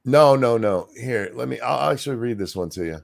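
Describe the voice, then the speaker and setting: A man hosting a casual live stream, ranting in a crude, sarcastic way with loud outbursts. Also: mid-range voice, animated, fairly quick, creaky. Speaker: stream host. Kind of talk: casual profane ranting chat.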